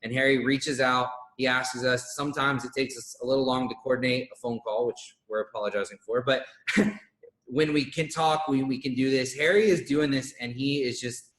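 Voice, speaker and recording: A man in his twenties co-hosting an online interview, energetic and full of praise for his guest. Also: mid-range voice, moderate pace. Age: 20s. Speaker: co-host interviewer. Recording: roomy echo.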